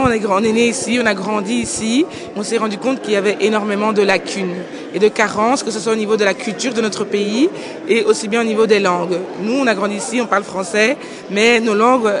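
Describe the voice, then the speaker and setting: A woman's voice: mid-range voice, fairly even intonation, quick pace. A young woman from Belgium, co-founder of a language school, answering interview questions in an earnest, articulate way. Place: indoor book fair hall. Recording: busy crowd chatter behind.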